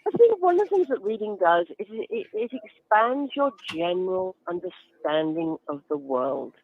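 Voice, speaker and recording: A woman's voice: mid-range voice, very animated, normal speed, narrow, tinny audio. A woman giving explanatory interview answers as a guest, instructive and emphatic, with everyday examples. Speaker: guest author and reading advocate.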